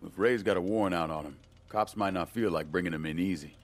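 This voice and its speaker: An actor playing a tough, cool American ex-soldier in a video game, terse and dryly sardonic. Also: male voice, sits low, fast talker. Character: tough, cool male ex-soldier turned bounty hunter.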